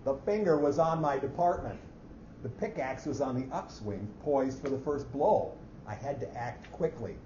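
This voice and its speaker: A fussy male office clerk played by a man narrates his murderous scheme in precise, formal phrases, as in a staged reading. The sound is dull and echoey, with clear background noise. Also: medium pitch, lively intonation, moderate pace, dark tone, close to an everyday voice. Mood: scheming. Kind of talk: staged dramatic reading of a short story. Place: echoey hall at a live reading. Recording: muffled, noisy, echoey hall recording.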